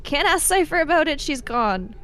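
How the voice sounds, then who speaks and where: A woman's voice: high voice, big pitch swings, moderate pace, fairly bright tone, voice pushed up. A woman playing a frank young female thief in a tabletop game, blunt but hesitant. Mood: apologetic.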